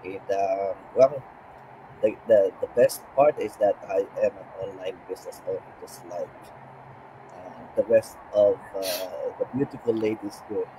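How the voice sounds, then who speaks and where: A man from the Philippines with a Filipino accent giving an informal, halting introduction on a live call, with some Tagalog. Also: low-pitched. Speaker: team member introducing himself. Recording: noisy online call, vehicle-like background noise.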